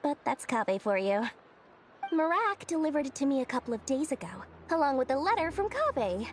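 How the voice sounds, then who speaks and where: A woman's voice: high-pitched, big pitch swings, fairly quick, a little hiss or hum. A woman voicing a shrewd, playful young businesswoman in a video game, breezy, self-assured and casually evasive. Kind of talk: video game story dialogue acting. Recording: music behind the voice.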